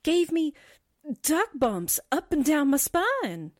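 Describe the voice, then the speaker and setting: Woman acting a chatty, high-voiced 1940s girl assistant. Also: very animated, takes it slowly, no room sound, studio quality, exaggerated voice. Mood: thrilled, spooked. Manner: breezy, dramatic, wisecracking. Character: chatty, slangy, excitable young female newspaper assistant. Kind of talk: 1940s radio drama acting.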